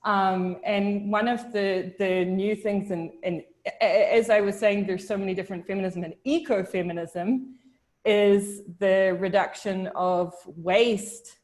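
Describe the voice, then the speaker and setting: Young woman from New Zealand, video-call chat, thoughtful, halting, lots of restarts. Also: mid-range voice, steady tone, darkish tone. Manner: thoughtful, hesitant, many restarts and fillers. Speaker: discussion participant. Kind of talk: casual video-call discussion.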